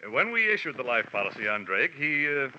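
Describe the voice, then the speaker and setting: An actor playing a pompous, blustering middle-aged insurance manager in a 1940s radio drama, talking gruffly and haltingly. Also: male voice, medium pitch, very animated, sharp tone. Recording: muffled old radio recording with faint hiss.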